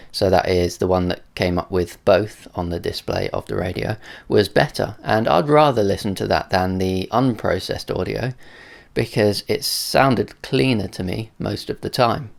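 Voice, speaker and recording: Man, lowish pitch, noticeable vocal fry, measured, matter-of-fact, tutorial narration. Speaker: presenter of a radio tech video.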